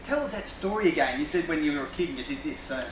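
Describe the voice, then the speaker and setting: An Australian man telling a relaxed personal anecdote to a group, with lots of ums and uhs. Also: fairly high pitch, quick pace. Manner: relaxed, with many fillers. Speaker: workshop participant. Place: room with a group of people. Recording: echoey room with noise.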